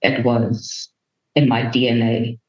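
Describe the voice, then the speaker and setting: A woman's voice: rumbling low, little pitch movement, slow pace, a little mellow. A woman from Namibia, giving earnest, thoughtful answers as an interview guest. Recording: roomy, dull remote recording.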